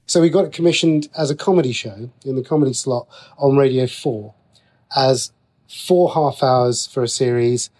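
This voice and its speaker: A British man speaks in a conversational interview as a writer guest. He has a medium-pitched voice and explains his thoughts in a chatty, informal flow. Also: moderate pace, a little mellow.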